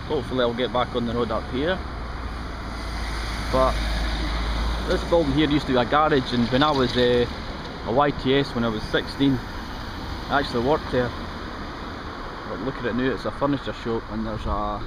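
A man's voice: medium pitch, moderate pace, pauses often. A middle-aged Scottish man vlogging casually as he cycles along canal paths and roadsides, chatting and pointing things out as he goes. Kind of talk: casual commentary while cycling. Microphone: action camera held or mounted on the bike. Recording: outdoor camera audio with traffic and wind.

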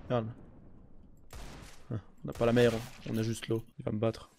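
A young man streaming a shooter game on a headset mic, chatting excitably with his viewers and swearing often. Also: mid-range voice, normal speed, gravelly, slight background noise. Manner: excitable, swears a lot, talks to chat. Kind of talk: live gaming stream commentary. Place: indoor room with a gaming chair.

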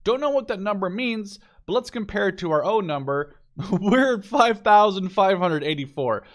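Man, slightly high voice, game commentary, casual, unscripted, thinking aloud. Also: animated, normal speed. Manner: informal, thinking aloud as he goes. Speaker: gameplay video host.

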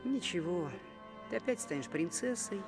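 High-voiced, timid young cartoon dwarf. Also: male voice, animated, normal speed, gravelly, in a large hall, music in the background. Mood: curious. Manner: earnest, hesitant. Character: timid, kind-hearted young dwarf errand-runner, male. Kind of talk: animated film acting.

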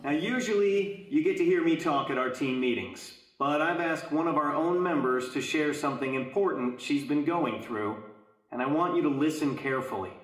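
An actor playing an encouraging youth pastor addressing teens in an audio drama. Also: male voice, fairly high pitch, normal speed, close to an everyday voice. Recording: roomy, echoing space.

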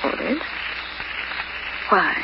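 An actress playing a cool, seductive schemer in 1940s radio noir style, terse and guarded. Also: female voice, extremely slow. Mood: coy, arch. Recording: muffled, noisy old radio recording.

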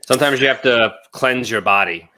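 A man with a mid-pitched, bright and forceful voice. He banters casually with friends as a podcast panelist on a video call. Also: brisk. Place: home room on a video call.

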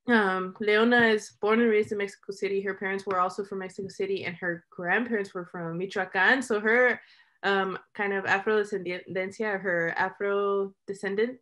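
A woman's voice: medium pitch, fairly bright tone. A young American woman, a documentary filmmaker, talks casually and discursively about their work on a video call, often saying "like". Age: young adult. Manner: informal, discursive, frequent "like", chuckles while talking. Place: small room at home, indoors. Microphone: computer mic on a video call.

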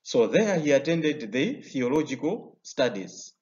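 A man's voice: mid-range voice, very animated, unhurried, a little mellow. Malawian man teaching a history lesson. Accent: Malawian English. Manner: explanatory, many "uh" fillers and restarts. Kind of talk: recorded video lesson over slides. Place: indoor room. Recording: slightly dull lo-fi sound.

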